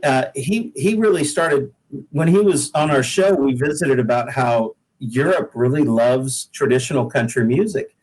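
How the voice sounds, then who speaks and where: A man's voice: medium pitch, normal speed, darkish tone, slightly rough, low-quality recording. An American man from the Pacific Northwest, a singer-songwriter being interviewed, tells stories in a casual, folksy, modest way. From Pacific Northwest, United States. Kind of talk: casual conversational storytelling.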